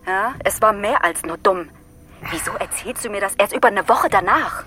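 A woman's voice: mid-range voice, normal speed, pushed and bright, lightly acted. An actress playing a female astronaut who whispers at first, then turns incredulous and scolding toward a crewmate. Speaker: astronaut crew member in an audio drama. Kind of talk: audio drama acting.

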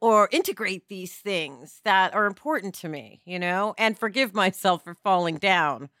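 A woman's voice: medium pitch, lively intonation, moderate pace, a bit bright, no room sound, studio quality. A woman co-hosting a podcast, talking casually in an earnest, encouraging way. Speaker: podcast co-host. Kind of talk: casual conversational discussion.

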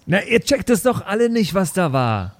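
A man's voice: high-pitched, big pitch swings, normal speed. A man improvising in-character in a role-playing game, earnest and often stammering over his words. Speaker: player in a pen and paper audio show.

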